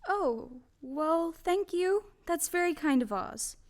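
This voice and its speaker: Woman playing an eager, very high-voiced young girl. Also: animated, slow pace, darkish tone, heavily acted. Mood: polite, brave. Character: Dorothy, polite, brave, eager young girl. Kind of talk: stage-style audio drama acting.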